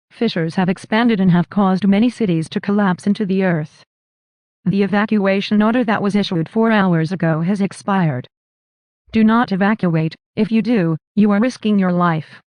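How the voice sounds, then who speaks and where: A woman's voice: medium pitch, moderate pace, darkish tone, no room sound. A woman's synthetic text-to-speech voice reading scripted emergency broadcast announcements in a formal, impersonal, clipped manner.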